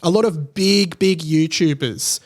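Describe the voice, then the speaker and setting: A man's voice: fairly high pitch, unhurried. A man hosting a podcast solo, giving casual commentary in a conversational way, thinking aloud and repeating words for emphasis. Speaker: podcast host.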